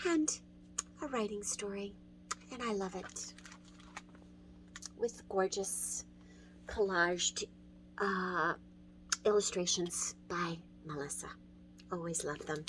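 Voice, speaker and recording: A middle-aged woman casually and enthusiastically recommending books in an informal style, as a children's librarian would. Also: mid-range voice. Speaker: children's librarian hosting book talks.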